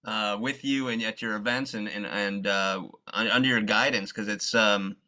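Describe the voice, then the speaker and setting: A man hosting an interview, speaking earnestly and haltingly with many restarts as he praises and questions his guest. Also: fairly low pitch, steady tone, pushed and bright. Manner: hesitant, full of fillers and restarts, earnest. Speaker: interviewer, facilitator.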